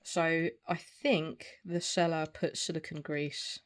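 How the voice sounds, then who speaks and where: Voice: medium pitch, level tone, slow. A person in their forties giving a casual, unscripted product review in a hesitant, rambling way. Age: 40s. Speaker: video reviewer. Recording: clear background noise, small clicks.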